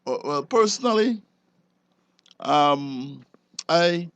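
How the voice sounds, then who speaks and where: A man with a Belizean accent giving an informal interview as an enforcement officer, explaining things haltingly and repeating words. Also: a little high, animated, a bit bright, clean tone, dry recording.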